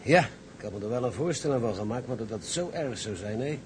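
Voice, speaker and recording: A man's voice: expressive, brisk, a little mellow, lightly acted. An actor playing a gloomy, sceptical seaman in a Dutch radio play, doubting and argumentative. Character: sceptical seaman, gloomy about a wreck salvage job. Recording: noisy rumbling background.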